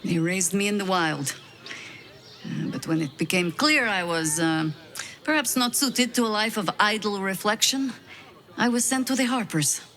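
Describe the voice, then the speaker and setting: A woman's voice: medium pitch, fairly bright tone, slight background noise, lightly acted. Woman acting a wry, weary veteran adventurer woman. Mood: reflective, candid. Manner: wry, measured, self-deprecating. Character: weary, wry veteran high-ranking woman harper agent. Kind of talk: video game dialogue acting, reflective storytelling.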